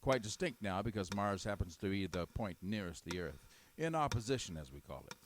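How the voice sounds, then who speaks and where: A steady, low-voiced professor, dismissive, vintage radio play with hiss. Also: male voice. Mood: measured. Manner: measured, dismissive. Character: calm older male astronomer, measured professor. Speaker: astronomer. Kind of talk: 1930s radio drama acting. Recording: noisy old radio recording.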